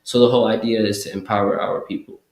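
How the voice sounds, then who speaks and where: A young man speaks in a somewhat low voice, chatting loosely and circling back with fillers and restarts. The room sounds echoey around him. He talks as a guest describing his community work. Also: flat delivery, quick pace, darkish tone. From Kenya. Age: young adult. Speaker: guest, community program worker. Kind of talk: casual interview chat. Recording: roomy echo.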